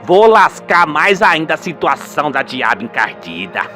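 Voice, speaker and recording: A man's voice: on the high side, sing-song, normal speed, bright, forceful tone, faint music behind. A man voicing an older rural father, indignant and vengeful, with folksy, emphatic speech. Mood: indignant, gleeful.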